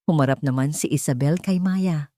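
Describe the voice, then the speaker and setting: Female story narrator, low pitch, scripted romance narration, dry close recording. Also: moderate pace, dark tone, no room sound.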